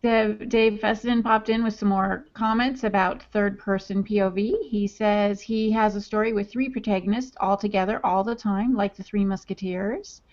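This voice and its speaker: A woman's voice: medium pitch, fairly even intonation, normal speed, few pauses, a little mellow. A woman co-hosting a writing podcast, reading listener chat comments aloud in an upbeat, chatty way. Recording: dull lo-fi audio.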